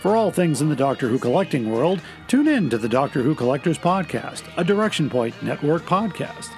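A middle-aged man delivers a scripted promo for a podcast. His pitch is a bit high and moves in lively swings. Music plays softly behind the voice. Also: brisk. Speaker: podcast host. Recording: music bed under the voice.

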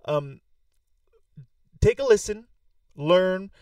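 A man opens the episode with an enthusiastic tone, stumbling now and then into restarts. His voice sits a little higher than usual and is heard from a bit of distance off the mic, in a dry space. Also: lively intonation, extremely slow, darkish tone, no echo. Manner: enthusiastic, with small restarts and fillers. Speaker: podcast host. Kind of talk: episode introduction.

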